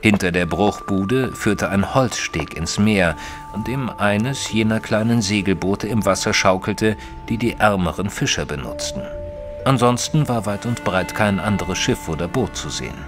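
Guy playing a reserved victorian gent narrating a detective audio drama, deep voice, measured, faint music behind. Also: natural voice. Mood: measured, descriptive. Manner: measured, formal.

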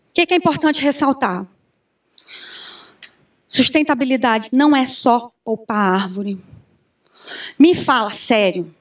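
A Brazilian woman in her thirties giving an animated conference talk on a handheld mic, often asking the audience questions. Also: high voice, unhurried, pauses often. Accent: Brazilian Portuguese. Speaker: conference speaker. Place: large conference room with audience. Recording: distant room sound from far camera.

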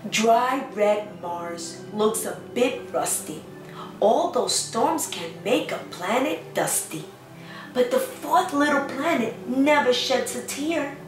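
A woman with a fairly high voice speaks to preschoolers in an animated, simple teaching manner, often asking questions. The room echoes and she sounds a little away from the microphone, with music in the recording. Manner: animated, simple child-directed talk with repeated questions. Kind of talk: children's lesson and read-aloud. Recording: roomy, echoing, distant microphone, faint music.

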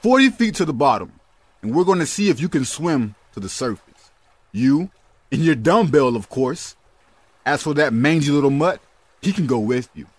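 Audio drama villain, male actor: gloating gang boss, raised husky voice, dry sound, taunting delivery. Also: on the high side, big pitch swings, moderate pace, dry recording. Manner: sneering, taunting. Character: gloating cruel male gangster boss, mocking and menacing.

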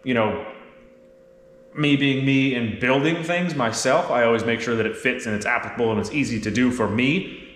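A man's voice: mid-range voice, normal speed, a bit bright. A man in his thirties giving a casual, candid hands-on install walkthrough to camera in a garage workshop. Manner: candid, informal, explanatory. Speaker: mechanic and video host. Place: roomy indoor garage workshop. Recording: echoey garage, clear background noise, clicks.

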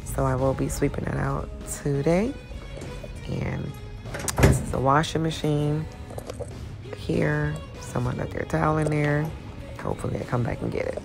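A woman with a very deep, gravelly voice talks slowly through a casual cleaning walkthrough. She rambles and stops to find her place, filming on a phone as she moves around, with soft music behind her. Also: fairly even intonation. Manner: rambling, loses her train of thought. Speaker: salon owner giving a cleaning tutorial.

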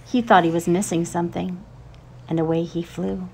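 A middle-aged woman reading a children's picture book expressively, with drawn-out, dramatic phrasing. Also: fairly low pitch, fairly even intonation, gravelly, a little mellow, husky. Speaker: storyteller. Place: outdoors on a paved porch.

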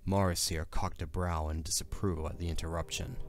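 Male narrator for a dramatic audio story, low voice, scripted delivery, faint music underneath. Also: flat delivery, brisk.

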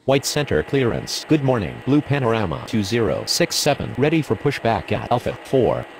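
A man voicing a formal airline radio operator in a simulation game, using measured scripted phraseology. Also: medium pitch, very animated, gravelly, a little hiss or hum, low-quality recording. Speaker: pilot and air traffic controller radio voice.

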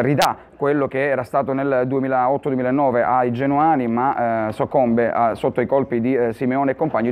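A man in his thirties giving a match recap as a sports reporter, with halting delivery and self-corrections. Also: mid-range voice, a little mellow. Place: indoor stadium press area in front of sponsor backdrop. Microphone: lapel mic.

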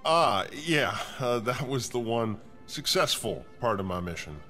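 A man's voice: mid-range voice, lively intonation, a little slow, pushed and bright, faint music behind. A man playing a dutiful, respectful starship captain with a grim, dryly wry manner in a science fiction audio drama.

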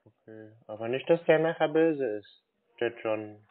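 A man in a casual phone conversation, talking in a naive, slow-witted, stalling way like a confused customer. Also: medium pitch. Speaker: prank caller posing as a naive customer. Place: phone call. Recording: tinny band-limited phone line.

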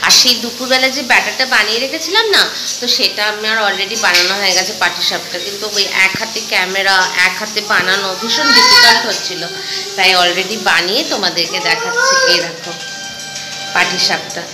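A woman's voice: mid-range voice, sing-song, a little fast, echoey room, over loud music. A woman in her thirties with a West Bengal Bengali accent chats casually to viewers, conversational and mixing in English words. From India. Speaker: home vlogger and mother. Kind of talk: casual vlog chat to viewers. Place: small home rooms and kitchen, indoors. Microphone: phone at arm's length. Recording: clear background noise, handheld phone.

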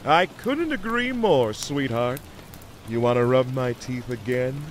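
A man's voice: medium pitch, animated, unhurried, a little hiss or hum, natural voice. A man voicing a suave, affectionate male cartoon beaver with a cool, sweet-talking manner. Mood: smooth, affectionate.

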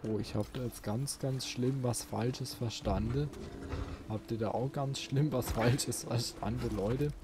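A man's voice: mid-range voice, steady tone, unhurried, background noise. A man doing casual let's play commentary, musing aloud and chatting to his viewers. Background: game music.

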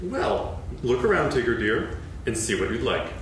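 An actress playing a kind, firm mother kangaroo in a staged reading, with a warm, coaxing manner. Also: very deep voice, big pitch swings, normal speed, slight background noise. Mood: welcoming. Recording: echoey hall from far off.

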